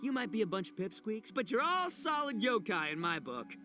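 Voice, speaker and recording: A man's voice: high voice, sing-song, moderate pace, sharp tone, faint music behind, heavily acted. A man voicing a brash, boastful but good-hearted young oni in a video game, goofy and slangy. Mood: friendly, brash.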